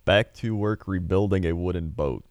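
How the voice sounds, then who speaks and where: A man's voice: low-pitched, moderate pace, no echo, studio quality. A man co-hosting a casual podcast, talking in a laid-back, droll way. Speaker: podcast co-host.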